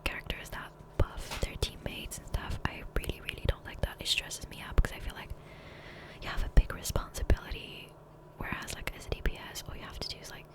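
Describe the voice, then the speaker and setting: Whispering woman, soft ASMR ramble. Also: low-quality recording. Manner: casual, unhurried rambling. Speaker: ASMR creator. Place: voiceover recorded separately from gameplay. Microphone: Blue Yeti USB microphone, close.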